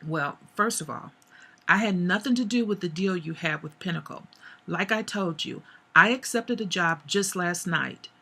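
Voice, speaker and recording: Lady reading young woman holding her ground, firm, hoarse husky voice. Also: on the low side, normal speed, pushed and bright, heavily acted. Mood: indignant. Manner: firm, defensive. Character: young woman standing up for herself, steady.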